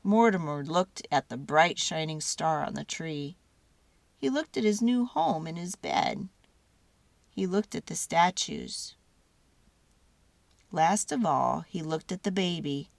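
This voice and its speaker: A woman reading a children's storybook aloud dramatically, with theatrical, deliberate phrasing and drawn-out words. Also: low voice, animated, gravelly, no room sound.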